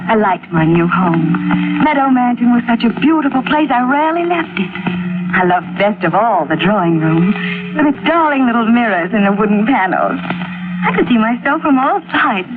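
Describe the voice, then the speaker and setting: A woman's voice: on the high side, sing-song, normal speed, heavy background noise. An actress playing a jealous, unstable wife who narrates her confession in melodramatic old-time radio style. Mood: brooding. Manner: melodramatic, brooding first-person delivery. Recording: tinny vintage radio recording.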